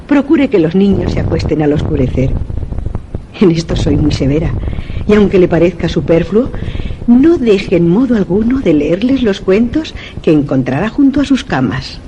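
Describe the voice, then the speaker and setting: A woman's voice: lowish voice, lively intonation, natural voice. An actress playing a stern, formal mistress of a mysterious house, reading her letter. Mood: stern, courteous. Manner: formal, measured, commanding. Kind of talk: radio theatre letter voice-over. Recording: dull filtered sound, faint noise.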